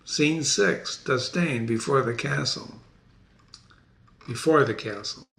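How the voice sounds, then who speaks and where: A man hosting a dramatic play reading, breaking off for informal, animated asides and explanations. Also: mid-range voice, slow, vocal fry, roomy sound. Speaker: reader and host. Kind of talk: dramatic reading with casual commentary.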